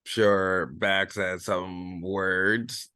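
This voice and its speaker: A young American man talks casually on a podcast in a low but sharp-edged voice. He speaks slowly and hesitantly, with an agreeable manner, recorded close and dry. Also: little pitch movement, clear, resonant tone, no echo. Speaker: podcast co-host and wrestler. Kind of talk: casual chat.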